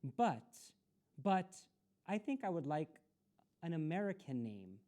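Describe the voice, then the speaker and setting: A shy little schoolgirl from a storybook, acted out by a woman. She sounds anxious and hesitant, with a soft-edged mellow tone and lively swings of pitch. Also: takes it slowly, heavily acted. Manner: hesitant, stammering. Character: shy, anxious young Korean immigrant schoolgirl.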